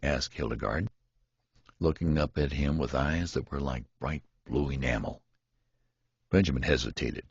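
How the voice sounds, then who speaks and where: A man's voice: extremely low pitch, gravelly, husky, no room sound, lo-fi sound. A man reading a literary story aloud as scripted audiobook narration. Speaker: audiobook reader.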